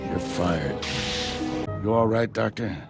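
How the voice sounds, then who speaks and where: A battle-worn Jedi master in a game cutscene. The voice is deep and full of gravel, with a dry, steady authority. Music plays clearly behind it. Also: male voice, slow pace, heavily acted. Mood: commanding. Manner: dry, wry, commanding. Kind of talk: video game cutscene acting.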